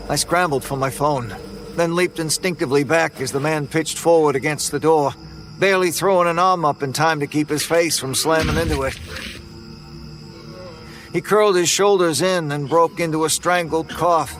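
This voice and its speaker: A man telling a first-person horror story in a tense, measured way. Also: a little high, fairly bright tone, faint music behind. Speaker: narrator.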